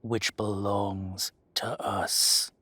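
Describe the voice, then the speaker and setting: A man playing a menacing, possessive dragon-shifter mafia boss, speaking in slow, condescending threats in a dramatic audio roleplay. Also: lowish voice, little pitch movement, pushed and bright, slightly rough, no echo, exaggerated voice. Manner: slow, deliberate, condescending threats with heavy pauses. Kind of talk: dramatic roleplay monologue addressed to the listener.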